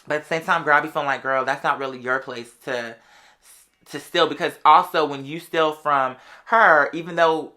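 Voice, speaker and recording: Bright, sassy American voice in the late twenties. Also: mid-range voice, steady tone, normal speed. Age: late 20s. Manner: conversational and sassy, heavy slang, often interjecting "girl". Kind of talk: casual gossipy storytelling.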